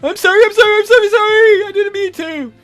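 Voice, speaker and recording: Man doing a shrill, whiny, hysterical dimwit. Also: fast, a bit bright, soft background music. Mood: panicked pleading. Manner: whiny, pleading, stammering, overwrought. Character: whiny, dim-witted, hysterical young male killer.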